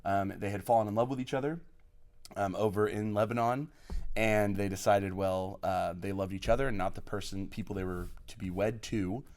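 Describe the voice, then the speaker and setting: A man's voice: lowish voice, steady tone, moderate pace, a little mellow. A man from Oklahoma, a guest on a podcast, telling his life story with enthusiasm and plenty of fillers. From Oklahoma, USA. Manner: enthusiastic, effusive, many fillers. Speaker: podcast guest, college program lead. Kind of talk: podcast interview, personal storytelling.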